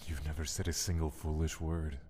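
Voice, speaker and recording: An actor in an audio drama playing Death as a solemn, tender male lover who speaks in a measured, philosophical way. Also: very deep voice, level tone, dark tone, slight background noise. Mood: tender, assured. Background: music.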